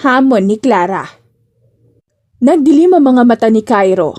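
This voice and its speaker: A woman's voice: a little high, expressive, measured pace, a little mellow. A woman reading scripted romance narration as an audiobook narrator.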